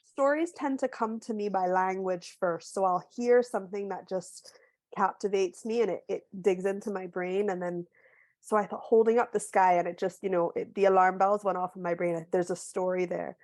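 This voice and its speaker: A woman from Barbados, an author, talking through a video call. She tells her story thoughtfully with small restarts and sounds a little hoarse from a cold. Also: medium pitch, fairly even intonation, moderate pace, a little mellow, dry recording. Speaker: author guest. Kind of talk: casual book club video call conversation.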